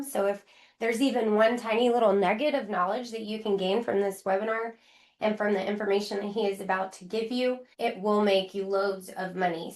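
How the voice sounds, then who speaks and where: A woman hosting and introducing a webinar on a video call, upbeat and friendly. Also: medium pitch. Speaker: webinar host.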